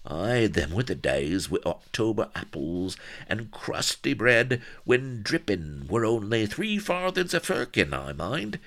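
A man's voice: lowish voice, very animated, unhurried, few pauses, fairly bright tone, pitched above the performer's own voice, heavily acted. A man voicing a crazed old castaway gardener with a West Country accent, rambling and whining in rustic dialect in an audiobook. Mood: rambling, nostalgic. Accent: West Country English.

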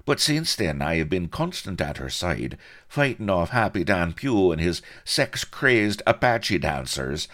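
A man's voice: fairly low pitch, fairly bright tone, pitched above the performer's own voice, heavily acted. A man voicing a sly, smug Irish rogue colonel who talks in a self-justifying, wheedling way in a comic audiobook.

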